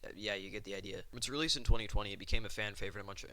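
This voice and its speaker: A man's voice: lowish voice, rapid-fire, pushed and bright, no room sound. A man hosting a video essay in an informal, casual commentary style, occasionally stumbling over his words.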